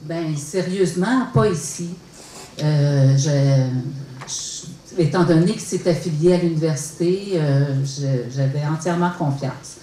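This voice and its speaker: A woman's voice: sits low, unhurried, mellow, a little hiss or hum. A woman in her fifties with a Québécois accent, giving a hesitant testimony at a public talk, full of fillers and restarts. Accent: Québécois French. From Quebec. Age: 50s. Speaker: research study participant. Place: lecture hall. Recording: roomy and distant.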